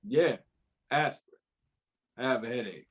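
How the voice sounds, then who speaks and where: A man's voice: medium pitch, moderate pace, lightly acted. A man reading the part of a weary, sardonic police detective in a crime thriller script, with a wry, grumbling manner. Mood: businesslike, weary.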